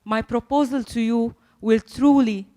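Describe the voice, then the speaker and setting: A woman's voice: a little high, steady tone, measured pace, dark tone. A young woman from Syria gives an earnest, prepared panel speech as a refugee musician. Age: young adult.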